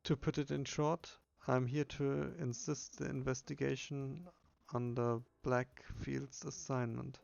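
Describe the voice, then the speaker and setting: A man reading a text adventure game aloud as narration, with a subdued, flat delivery. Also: medium pitch, a little slow, vocal fry, lo-fi sound.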